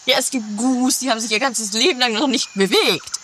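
A woman's voice: a little high, fairly quick, fairly bright tone, natural voice. A woman playing an earnest, devout and obliging companion in an amateur comedy radio play, explaining things patiently. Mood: reverent. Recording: faintly noisy amateur 1980s recording.

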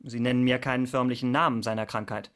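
A brisk period police corporal, acted by a man, questioning in clipped, formal phrases. His voice sits at a medium pitch, with the official manner of a radio crime play. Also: steady tone, a bit bright, close to an everyday voice. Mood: official, probing. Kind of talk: German radio crime drama acting.

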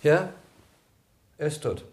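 An actor playing a calm, matter-of-fact village doctor in a German radio play, dry and measured. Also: male voice, reverberant, natural voice.

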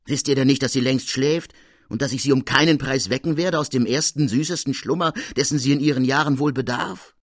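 A man's voice: mid-range voice, brisk, dry recording, studio quality, voice pushed up, natural voice. A man voicing an indignant, protective female chambermaid in an emphatic, scolding literary reading. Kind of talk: literary audiobook character reading.

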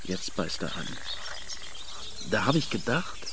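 An actor playing an eager young male angler in a radio play, coaxing and halting as he shyly courts. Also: on the low side, sing-song, bright, forceful tone, a little hiss or hum, exaggerated voice. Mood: hushed, eager. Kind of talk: German radio play acting. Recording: faint water and outdoor ambience sounds.

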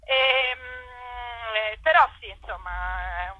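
A woman's voice: medium pitch, takes it slowly, highs cut off. A woman, chatting casually as an interview guest, hesitant and often trailing off.

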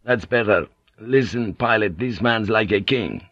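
Man putting on a brash jovial sea pilot, raised pitch, loud and profane, dry close sound, dull cassette tape. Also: unhurried, no room sound. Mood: boisterous. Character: boisterous, coarse, swaggering male sea pilot.

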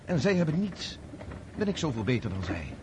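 An actor playing a kind-hearted, awkward young Russian count in a radio play, halting and self-correcting. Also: male voice, medium pitch, very animated. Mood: earnest, troubled. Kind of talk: radio drama acting. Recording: noisy old radio play recording.

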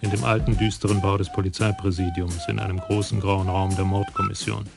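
Brief: male narrator, low and gravelly, wry measured delivery over music. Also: level tone. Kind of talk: scripted radio drama narration.

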